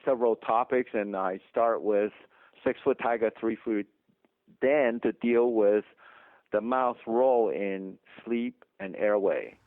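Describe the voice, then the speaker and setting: A man's voice: on the low side, slow, dry recording. Taiwanese-accented man on a tinny line. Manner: emphatic, explanatory, chuckles often. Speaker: dentist guest. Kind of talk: interview conversation. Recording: dry tinny phone line.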